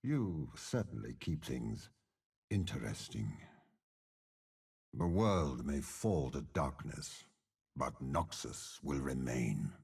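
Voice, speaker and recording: Very deep, gravelly warlord general. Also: male voice, darkish tone, full character voice. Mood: sardonic menace. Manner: slow, grandiose, measured, imperious. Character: commanding older male warlord general, cold, cunning, menacing. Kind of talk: video game character voice lines.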